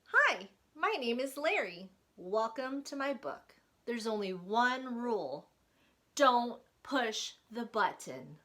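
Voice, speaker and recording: A woman reading a picture book as a small, mischievous, excitable creature who bosses the listener around playfully and exclaims a lot. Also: on the high side, slow, full character voice. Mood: stern, playful.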